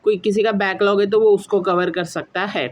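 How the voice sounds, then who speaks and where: High-pitched young man giving study advice. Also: flat delivery, moderate pace. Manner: mixes English words into speech. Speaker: student YouTuber giving exam study advice. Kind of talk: informal explanatory advice to students.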